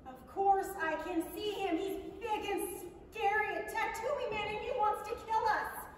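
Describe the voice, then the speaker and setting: A woman's voice: very high voice, steady tone, measured pace. A woman playing a bold, stubborn little girl in a staged reading. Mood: scared, insistent. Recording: echoey theatre hall, distant, faint noise.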